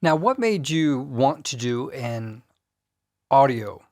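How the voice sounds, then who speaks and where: A man's voice: mid-range voice, lively intonation, slow, a little mellow, no echo, studio quality. A man hosting a casual podcast interview.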